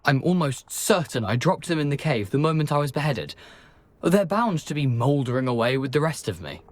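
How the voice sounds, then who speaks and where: A man playing a jaunty, wry gentleman ghost in a video game, with a flamboyant and courteous manner. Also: on the high side, big pitch swings, fairly quick. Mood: droll, breezy.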